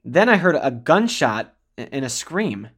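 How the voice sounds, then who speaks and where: A man's voice: medium pitch, sing-song, measured pace, a bit bright, husky, full character voice. An actor playing a nervous young pizza delivery man giving witness in an audio drama.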